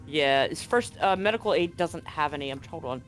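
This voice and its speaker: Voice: medium pitch, lively intonation, fairly quick, fairly bright tone, faint music behind. A game master running a live tabletop roleplaying game, talking casually and jokily with some swearing.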